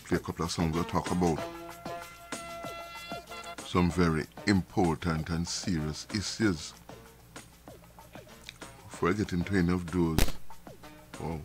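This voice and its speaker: A deep-voiced man hosts a live stream in a loose, rambling way, doubling back on his words and restarting sentences. His voice sounds a little nasal and his throat is irritated from dust. Faint music plays behind him. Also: steady tone, unhurried, pauses often. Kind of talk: casual monologue to viewers.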